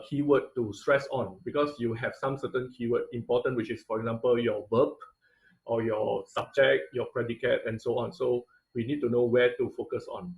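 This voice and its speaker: A man's voice: mid-range voice, poor audio. Man lecturing with tag questions. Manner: explanatory, frequent "uh" and "right?" tag questions. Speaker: robotics instructor. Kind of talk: online class lecture over slides.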